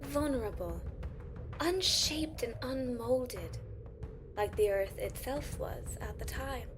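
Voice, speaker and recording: Actress as female keeper of old lore, fantasy audio drama: high register, grave storytelling, scored. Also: animated, slow pace. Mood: grave, solemn. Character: solemn ancient female lore-keeper of an island people. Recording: music behind the voice.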